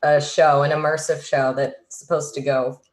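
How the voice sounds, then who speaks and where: A woman's voice: low voice, level tone, normal speed, darkish tone. A woman, an actress, chatting informally on a group call, peppering her sentences with "like". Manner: informal, frequent "like". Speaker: stage actress. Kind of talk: casual group chat. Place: at home on a group video call. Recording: echoey room over video call.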